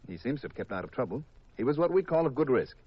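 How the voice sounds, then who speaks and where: A man's voice: mid-range voice, big pitch swings, quick pace, breathy edge, no echo. An actor playing a shrewd, cynical insurance company man, businesslike and emphatic, in 1950s radio drama style. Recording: dull vintage radio recording.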